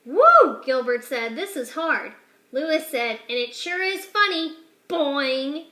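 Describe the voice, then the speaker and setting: A woman's voice: takes it slowly, roomy sound. A woman reading a children's picture book aloud, changing her voice for the characters' lines. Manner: expressive, shifts pitch and loudness for each character.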